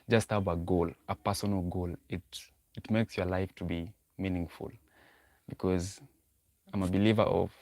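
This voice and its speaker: Low-voiced man chatting on a livestream. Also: darkish tone, no room sound. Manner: repetitive, thinking aloud, talks to chat. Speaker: livestream host. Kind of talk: casual livestream talk, reading chat.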